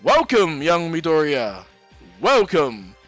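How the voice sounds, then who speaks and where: A man's voice: a little high, huge pitch swings, slow pace, soft background music, full character voice. A man voicing a grand, encouraging male superhero teacher from an anime story, proclaiming formally and emphatically. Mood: proud, welcoming. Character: All Might, booming heroic male teacher, grandly encouraging.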